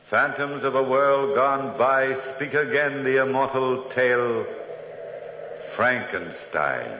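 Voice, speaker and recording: Man as grave ghostly 1940s radio announcer, slow solemn declamation, echoing, very noisy recording. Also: medium pitch, lightly acted. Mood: grave, haunting. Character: grave ghostly announcer summoning phantoms of the past. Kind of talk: 1940s radio horror introduction. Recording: cavernous reverb, muffled noisy 1940s radio.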